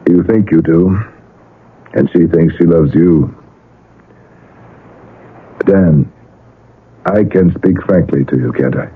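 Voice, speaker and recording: An actor playing an anxious, possessive middle-aged father in a 1970s radio drama, earnest and halting as he pleads. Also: low-pitched, breathy edge, full character voice. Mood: confessing. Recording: muffled vintage radio broadcast.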